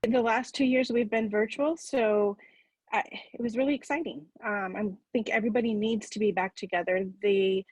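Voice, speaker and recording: Female charity volunteer, mid-range voice, dry recording, informal halting interview answers. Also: mid-range voice, steady tone, a little mellow, breathy edge, no room sound, rough recording.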